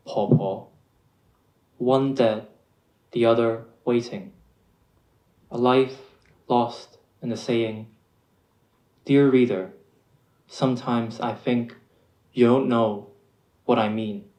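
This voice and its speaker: A young man from Hong Kong reads his poem very slowly, weighing each line with deliberate pauses. His voice is mid-pitched and sounds roomy, with a noticeable echo around it. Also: darkish tone. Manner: measured, deliberate reading. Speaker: poet reading his poem. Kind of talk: slow poetry reading.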